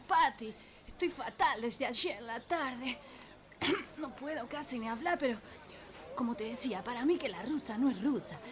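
Woman acting a high-voiced poorly stallholder. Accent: Spanish from Spain. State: coughing, poorly throat. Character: sentimental, unwell female market stallholder. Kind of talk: comic radio serial acting. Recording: crowd chatter behind.

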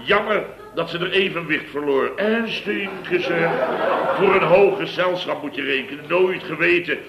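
An actor playing a blustering middle-aged contractor boss who rambles through an exasperated tale, in 1960s radio comedy acting. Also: male voice, on the high side, moderate pace, creaky, roomy sound, lo-fi sound, heavy background noise. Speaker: construction firm boss.

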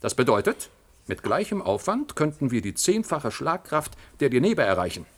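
Scheming general, middle-aged, pompous, condescending, oratorical, medium pitch, science-fiction radio drama. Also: male voice, big pitch swings, moderate pace. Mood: smug, calculating.

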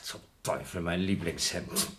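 An actor playing an astronaut on a moon station who is agitated and impatient and keeps exclaiming. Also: male voice, deep voice, a little slow, heavy background noise. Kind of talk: 1970s radio play acting.

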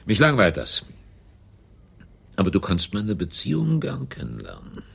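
An actor playing a scornful, self-assured adversary in a radio crime drama, sneering and mocking in a gravelly, husky voice. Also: male voice, fairly low pitch, wildly swooping pitch, normal speed, exaggerated voice. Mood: contemptuous.

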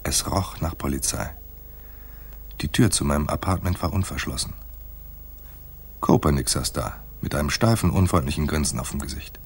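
A wry private detective from a noir radio play. His voice sits very low and has a husky grain. He talks with laconic, sardonic understatement. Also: moderate pace, pauses often, heavily acted. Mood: dry, brooding. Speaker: narrator and lead actor. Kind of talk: noir radio drama, first-person narration and dialogue.

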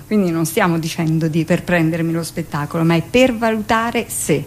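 Woman guest on a radio chat, voice on the low side, wanders off into little asides. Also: normal speed, darkish tone, slight background noise. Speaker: radio guest, theatre director and performer. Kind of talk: conversational radio interview.

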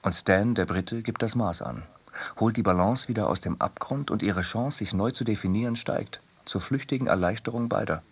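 A man reading a scripted literary essay for radio, measured and articulate. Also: fairly low pitch, slightly rough. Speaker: reader in a radio production.

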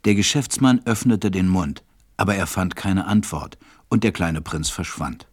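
A man narrating a storybook radio play with a husky voice and a measured, reflective manner. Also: sits low, animated, darkish tone, dry recording, studio quality.

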